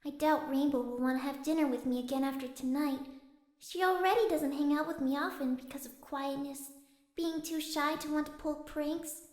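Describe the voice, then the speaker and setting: A woman's voice: steady tone, normal speed, no room sound, close to an everyday voice. A woman voicing a timid, sensitive young female pegasus pony for cartoon fan fiction, speaking hesitantly in a delicate voice. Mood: sad, self-doubting. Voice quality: soft, high, delicate voice. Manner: hesitant, stammering.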